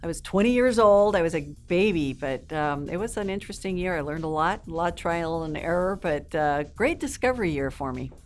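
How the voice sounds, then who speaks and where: A woman's voice: lowish voice, expressive, a little fast, few pauses, soft background music. A woman in her fifties who grew up in South America, an interview guest telling stories in an upbeat, fluent way with a few ums. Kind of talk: casual interview chat.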